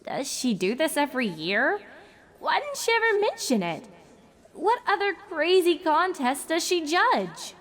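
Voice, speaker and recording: A woman's voice: very high voice, wildly swooping pitch, a little slow, fairly bright tone, pitched above the performer's own voice, heavily acted. A woman voicing an earnest, bookish young female unicorn who is easily flustered, in a cartoon fan story reading. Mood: bewildered.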